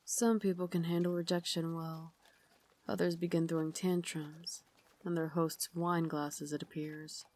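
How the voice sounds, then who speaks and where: Low-voiced refined Victorian vampire lady, acted by a woman. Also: level tone, unhurried, darkish tone. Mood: poised, wistful. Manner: formal, genteel, breathy asides and sighs. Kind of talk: ASMR roleplay, dramatic monologue.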